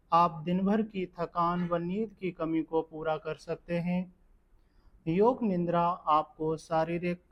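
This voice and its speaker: A man with a slightly high voice leads a guided meditation at a very slow pace. His delivery is calm and measured, giving gentle step-by-step instructions. The recording sounds somewhat dull and lo-fi. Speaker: yoga nidra meditation guide.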